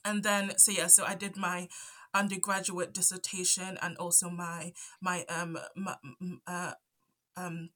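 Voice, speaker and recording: Bright-voiced young woman with a stutter. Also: lowish voice. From Zambia. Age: 20s. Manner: hesitant, many fillers and restarts. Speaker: guest, anthropology PhD student. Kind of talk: conversational interview answers.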